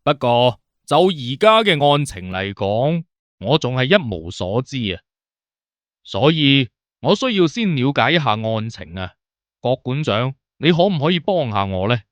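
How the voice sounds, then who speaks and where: A man playing a cocky, unhurried, teasing detective consultant in an audio drama. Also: medium pitch, very animated, dry recording. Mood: composed. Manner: unhurried, teasing, self-assured. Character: cocky, nonchalant male detective consultant with a moustache. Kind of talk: Cantonese audio drama acting.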